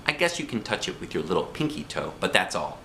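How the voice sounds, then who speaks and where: Man playing an excitable, dramatic little first-grade girl. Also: low-pitched, quick pace, vocal fry, a little hiss or hum, pitched below the performer's own voice, exaggerated voice. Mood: chatty, confident. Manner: dramatic, emphatic, childish.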